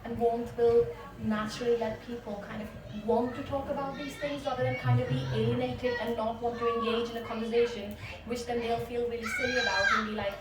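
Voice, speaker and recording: A woman from India, a therapist, speaking in an animated way on a discussion panel. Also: on the high side, brisk, noisy background. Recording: echoey, far from the mic, with music behind.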